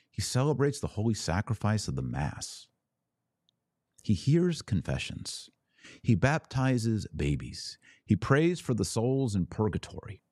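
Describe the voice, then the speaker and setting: A man's voice: fairly low pitch, darkish tone, husky, no room sound, studio quality. A man hosting a podcast, giving emphatic, argumentative religious commentary in a partly improvised way, leaning on key words.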